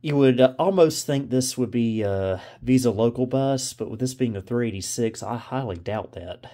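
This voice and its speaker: A man's voice: medium pitch, normal speed, dark tone. A man giving casual hands-on commentary as a video host, thinking aloud and often unsure. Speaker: host of a vintage computer video channel. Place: indoors in a home room.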